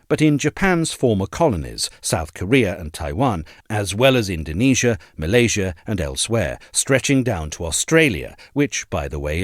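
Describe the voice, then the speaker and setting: A man reading a formal essay aloud as scripted narration, in a measured, articulate manner. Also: lowish voice, no echo, studio quality.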